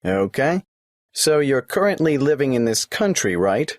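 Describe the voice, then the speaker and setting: A polite government clerk who takes an applicant through a form, asking his questions one by one in a patient, matter-of-fact way. His pitch rises and falls in a lively way, and the recording is dry and close. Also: measured pace, dry recording. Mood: businesslike. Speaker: tax office clerk. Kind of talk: scripted exam listening dialogue.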